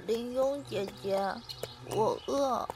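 A woman's voice: fairly high pitch, slow. A woman playing a shy, obedient small boy in a Chinese costume radio drama, childlike and polite. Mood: plaintive. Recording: faint background noise, outdoor ambience.

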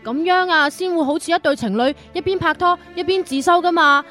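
An actress playing a perky, pushy young university student in love in a radio drama, chatty and coquettish. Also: female voice, extremely high pitch, fairly quick, a bit bright, faint music behind. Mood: coy, demanding.

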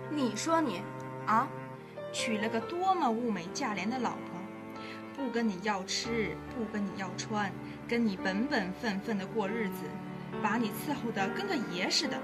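A woman playing a proud, hard-working working-class wife with a sharp tongue in a radio drama. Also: fairly high pitch, animated, normal speed, lightly acted. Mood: sarcastic, reproachful. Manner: indignant, scolding, sharp-tongued. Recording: amateur radio drama with music underneath.

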